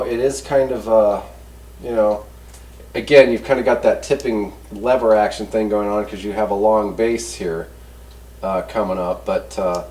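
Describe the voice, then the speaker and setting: A man's voice: mid-range voice, mellow. A man giving a casual hands-on product review as a host, talking in a folksy, rambling, easygoing way. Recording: roomy echo, distant mic, background noise.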